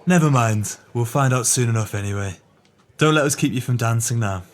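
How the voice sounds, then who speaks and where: A man's voice: medium pitch, brisk, close to an everyday voice. An actor playing a greedy, sly male swindler in an audio drama, with pompous, wordy, formal speech.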